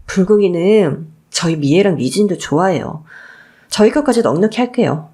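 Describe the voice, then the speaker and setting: A woman's voice: mid-range voice, expressive, moderate pace, mellow, natural voice. A woman voicing a long-suffering daughter-in-law who protests indignantly in a radio story drama. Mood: firm.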